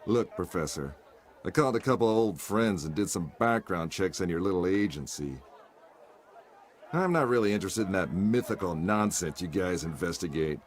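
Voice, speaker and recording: An actor playing a cynical, world-weary adventurer for hire in a video game, with a wry, sardonic way of talking. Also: male voice, low-pitched, animated, normal speed, slight background noise. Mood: dry, reluctant. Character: cynical mercenary adventurer man, wry and world-weary, living off his family name. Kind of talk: video game acting and scripted narration. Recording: loud music behind voice.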